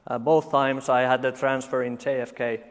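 A man from Finland in his forties with a Finnish accent, giving a conference talk full of dry, deadpan jokes and halting fillers. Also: mid-range voice. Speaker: conference speaker. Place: large conference hall. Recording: long hall reverb.

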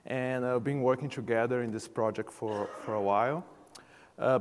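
A jet-lagged man from Brazil introducing himself as a solutions architect at a conference, with a hesitant delivery full of fillers. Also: medium pitch. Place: large conference hall. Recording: reverberant hall.

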